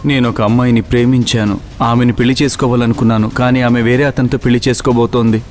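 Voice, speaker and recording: A man playing an earnest, lovelorn young villager in an animated moral story. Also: medium pitch, rapid-fire, close to an everyday voice. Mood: sad, earnest. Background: faint music.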